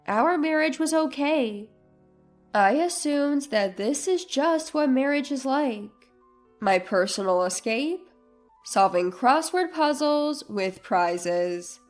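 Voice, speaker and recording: High-voiced woman narrating, unhurried. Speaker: story narrator. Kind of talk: scripted first-person story narration. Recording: music under the voice. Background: soft background music.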